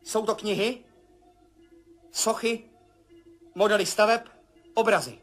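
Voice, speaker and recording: A husky, awed space traveller. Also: male voice, high-pitched, a bit bright. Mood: awed, urgent. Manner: breathless, awed, urgent describing visions. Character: astronaut Tom, adult man, anxious and searching. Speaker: narrating lead character. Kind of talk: 1970s radio drama acting.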